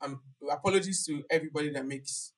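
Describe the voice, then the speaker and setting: A woman hosting a casual chat, animated, laughing and restarting her sentences often. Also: sits low, fast. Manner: animated, laughs, talks with many fillers and restarts. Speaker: podcast host.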